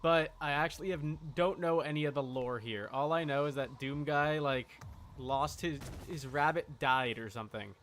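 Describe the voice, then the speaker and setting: A man's voice: fairly high pitch, moderate pace, fairly bright tone, slight background noise. A man giving casual, wry live commentary as he plays a video game, often muttering to himself. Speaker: game streamer.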